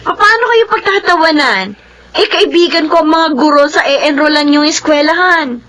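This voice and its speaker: A woman's voice: high-pitched, moderate pace, fairly bright tone. A woman playing a devoted grown daughter in a drama, earnest and persuasive. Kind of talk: Filipino drama acting.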